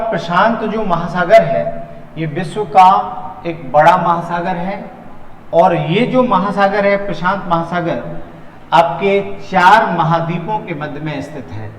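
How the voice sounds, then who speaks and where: A man's voice: high-pitched, unhurried. A man teaching in an exam-prep lecture style, repeating phrases and asking questions that he answers himself. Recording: echoey hall-like room reverb.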